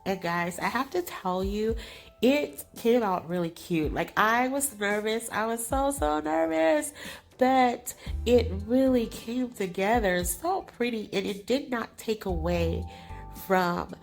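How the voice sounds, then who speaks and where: A woman's voice: on the high side, slow pace, gravelly, faint music behind. A woman hosting a crafting channel, talking casually over her tutorial, sounding nasal and congested from allergies, chatty and apologetic. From California. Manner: chatty, apologetic, laughs often.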